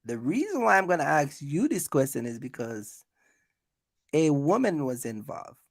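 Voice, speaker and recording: A man's voice: fairly high pitch, big pitch swings, moderate pace, no echo, clean studio sound. A man hosting a casual live quiz, teasing the players and repeating himself for emphasis. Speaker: host of a live quiz for his channel. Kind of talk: casual chat, game-show questioning.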